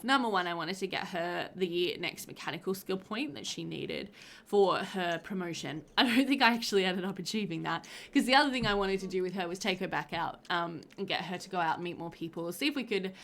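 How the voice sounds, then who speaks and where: A woman in her thirties narrates her gameplay in a loose, chatty way, laughing often. Her nose sounds slightly stuffed up. She talks into a headset at a middle pitch. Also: sharp tone. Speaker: gaming channel host. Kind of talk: casual gameplay voiceover.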